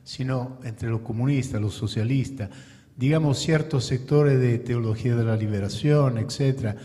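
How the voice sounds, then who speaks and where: A man's voice: mid-range voice, normal speed, dark tone. Man asking from the audience. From Italy. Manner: discursive, building up to his question. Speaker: audience member asking a question. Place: lecture hall. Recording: long reverb in a hall.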